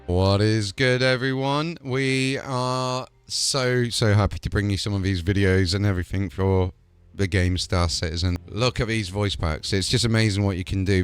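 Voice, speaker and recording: Deep-voiced, excitable male gamer host. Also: moderate pace, smooth, no room sound, faint music behind. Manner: excited, rambling, full of fillers. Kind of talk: casual enthusiastic chat.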